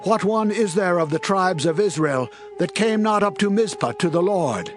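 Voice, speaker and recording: Male actor as severe biblical assemblyman, high declamatory delivery with a faint musical bed. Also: lively intonation, normal speed, faint music behind. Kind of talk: dramatized scripture acting.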